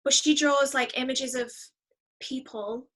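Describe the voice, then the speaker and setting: A woman with a fairly high, very bright voice chats as a podcast guest. She thinks aloud in a reflective, enthusiastic way, keeping the conversation casual. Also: steady tone, measured pace, breathy edge. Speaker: interview guest, musician.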